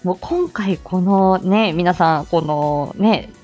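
A woman's voice: lowish voice, slow pace, dark tone, faint music behind. A woman hosting a live audio stream with casual announcements in a playful, giggly, polite manner.

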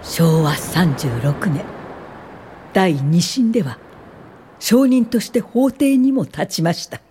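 An actress playing a principled medical social worker in a radio drama, speaking in a measured, formal and steady way. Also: female voice, medium pitch, slight background noise. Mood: reflective. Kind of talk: radio drama narration and acting.

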